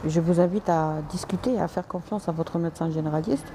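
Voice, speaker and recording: Woman doctor, live Q&A, hesitant restarts, mixes in English words, lowish voice, noisy audio. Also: a little fast. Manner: hesitant, restarts, polite and direct. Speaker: doctor answering live viewers. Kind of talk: live stream reading and answering comments.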